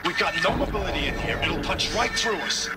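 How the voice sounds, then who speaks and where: A man's voice: sits high, lively intonation, fairly quick. A man playing an urgent soldier calling out in a video game battle. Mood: tense, urgent. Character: urgent male soldier in battle over comms. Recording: distant voice under loud music.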